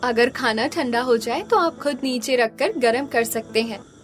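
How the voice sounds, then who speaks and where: A woman's voice: fairly high pitch, measured pace, a little hiss or hum, close to an everyday voice. A woman playing an earnest, caring, hardworking restaurant owner in a cartoon. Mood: polite. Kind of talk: scripted cartoon dialogue.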